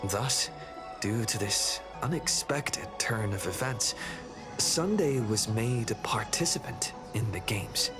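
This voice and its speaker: A young hero recounts a game saga in a low, solemn voice. The delivery is slow and measured, with clear background music underneath. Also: male voice, expressive. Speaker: story narrator. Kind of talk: scripted game story recap narration.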